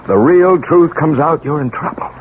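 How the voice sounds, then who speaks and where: A man's voice: fairly high pitch, moderate pace, close to an everyday voice. Man playing a stammering, controlling father, tinny old radio. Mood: urgent, pleading. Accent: American. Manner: stammering, insistent, urgent. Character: anxious, controlling professor father covering up a crime. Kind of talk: 1970s radio drama acting. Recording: muffled narrow-band old radio recording, hiss.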